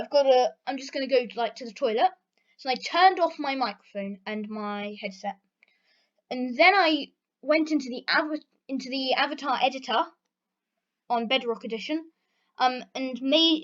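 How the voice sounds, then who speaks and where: A British teenage girl chatting casually through a question-and-answer vlog, rambling with plenty of fillers. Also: high voice. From Britain. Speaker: channel host. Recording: dull lo-fi recording.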